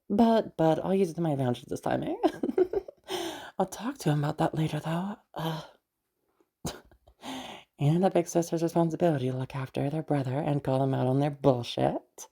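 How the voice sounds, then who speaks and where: A woman playing a protective, easygoing big sister in an intimate audio roleplay, speaking in a halting, reassuring way with sighs and casual swearing. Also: low voice, gravelly, warm tone, slightly rough, heavily acted. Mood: teasing, reassuring. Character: protective, mysterious, easygoing big sister escorting a new acquaintance.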